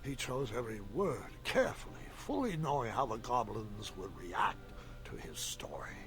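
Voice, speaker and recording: A man's voice: mid-range voice, very animated, moderate pace, fairly bright tone, soft background music. A man voicing a wry old adventurer who recalls his past as a dramatic, knowing storyteller in a video game. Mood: wry, intrigued. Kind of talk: scripted adventure game narration.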